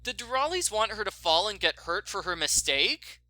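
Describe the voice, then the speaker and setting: A man's voice: high-pitched, very animated, moderate pace, bright, forceful tone, pitched above the performer's own voice, exaggerated voice. A man voicing an indignant, exasperated young female detective who complains sarcastically in a story reading.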